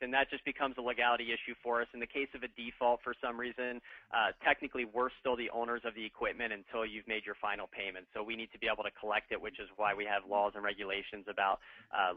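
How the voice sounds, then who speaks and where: A man explaining equipment financing in a steady, plain way during a webinar. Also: fairly low pitch, no room sound. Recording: tinny phone-like line.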